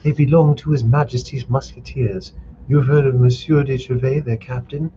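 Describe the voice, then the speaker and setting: A man's voice: medium pitch, fairly even intonation, a little fast, mellow, highs cut off, a little hiss or hum, pitched above the performer's own voice, natural voice. A man voicing a bold, gallant young swordsman, speaking in a confident, courtly, declamatory way.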